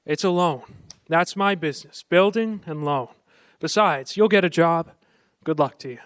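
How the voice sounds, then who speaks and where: A man acts out a harried family man of a classic radio play. His voice sits high and very dry, and he stammers through his words, frustrated but tender underneath. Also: normal speed, a bit bright, smooth, no echo, natural voice. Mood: concerned, kindly. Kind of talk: old-time radio drama acting.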